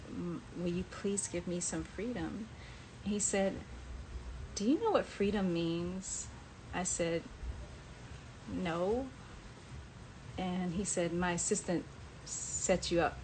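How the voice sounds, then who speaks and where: A woman's voice: fairly low pitch, slight background noise, low-quality recording. A woman telling a personal anecdote in a casual, chatty way on a livestream, acting out bits of dialogue as she goes. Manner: chatty, acts out quoted dialogue. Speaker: author sharing personal memories on a livestream. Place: live social media stream.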